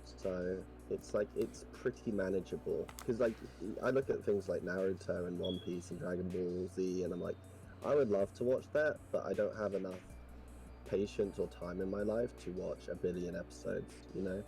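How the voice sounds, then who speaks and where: A man's voice: deep voice, moderate pace, gravelly, dark tone, faint music behind, rough recording. A man with a posh English accent chatting casually as a guest, rambling thoughtfully with many fillers. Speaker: podcast guest. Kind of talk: casual chat.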